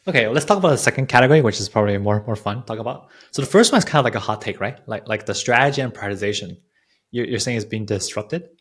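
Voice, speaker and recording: A man's voice: medium pitch, lively intonation, very fast, fairly bright tone, breathy edge. A man hosting an interview in a casual, informal way, thinking aloud and repeating words as he goes. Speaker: podcast host, interviewer.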